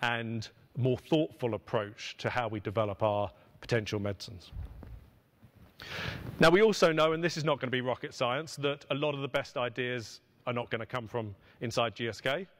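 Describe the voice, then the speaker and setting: A middle-aged man delivers a prepared conference keynote as an executive, phrasing deliberately with emphatic pauses. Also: medium pitch, a bit bright. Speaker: pharmaceutical finance executive giving a keynote. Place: on stage at a podium in a conference hall. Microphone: podium microphone.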